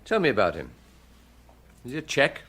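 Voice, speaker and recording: A man acting in a British radio detective drama as an insistent, authoritative police chief who questions briskly and with impatience. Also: medium pitch, wildly swooping pitch, fairly bright tone, slightly rough, heavily acted. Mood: pressing, methodical. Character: authoritative male police chief, probing, impatient, menacing interrogator.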